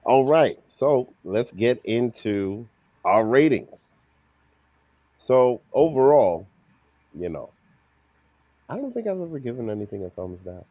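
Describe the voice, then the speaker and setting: A man hosting a film review show in casual, informal chat with an animated manner. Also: mid-range voice, a little slow, long pauses, no echo, dull audio.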